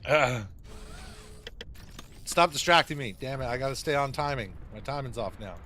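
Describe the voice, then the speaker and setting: A man's voice: mid-range voice, moderate pace, sharp tone, a little hiss or hum. A man casually chatting on a gaming livestream, rambling and swearing with lots of fillers. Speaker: gaming streamer.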